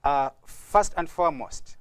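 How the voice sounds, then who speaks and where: Polite man with a higher voice. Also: measured pace, fairly bright tone, clear, resonant tone. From Uganda. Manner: polite, earnest, often says thank you. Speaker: youth music group member. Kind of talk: studio interview guest. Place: TV studio.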